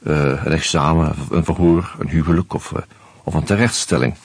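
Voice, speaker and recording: An actor playing an anxious out-of-work husband who narrates in the first person, wry and hesitant, in a radio play. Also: male voice, rumbling low, brisk, breathy edge, exaggerated voice.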